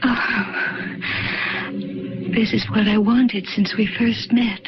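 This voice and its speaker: Slow-speaking seductive ageless enchantress, noisy recording. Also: female voice, on the high side, fairly even intonation. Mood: seductive, hungry. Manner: slow, coaxing, commanding. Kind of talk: radio drama acting. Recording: noisy, dull old radio broadcast.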